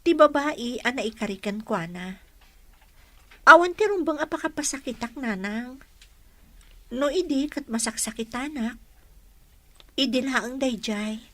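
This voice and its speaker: An earnest young Filipino mother in a radio drama. The voice is fairly high and clearly bright, recorded close and dry, with devoted feeling behind it. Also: expressive, measured pace, long pauses, no echo, heavily acted. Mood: hurt, resolute.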